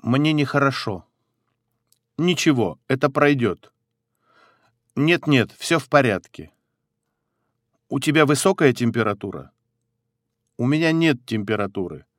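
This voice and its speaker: A man reading medical phrases one by one for a language lesson, in a measured, neutral manner with pauses between phrases. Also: mid-range voice, lively intonation, no echo, clean studio sound. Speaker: phrasebook reader. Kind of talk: slow, clearly spaced phrasebook reading.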